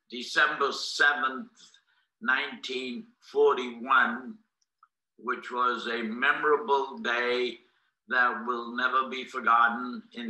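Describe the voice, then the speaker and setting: A man in his nineties from Boston, whose first language was Greek, recounts his life story in a deliberate, halting, formal way. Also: medium pitch, bright, forceful tone. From Boston, United States. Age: 90s. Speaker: interviewee, war veteran. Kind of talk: recounting life history in an interview. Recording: echoey room.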